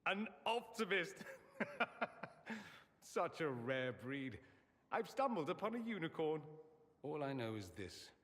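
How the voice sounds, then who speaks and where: A witty, self-admiring mage for a fantasy video game. His speech is arch and sardonic, with lively swings of pitch. The voice rings in a long, reverberant hall. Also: slow pace, pauses often, exaggerated voice. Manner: theatrical, arch, sardonic delivery. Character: witty, vain mage from a fantasy empire, wryly self-aware. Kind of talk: dramatic video game dialogue.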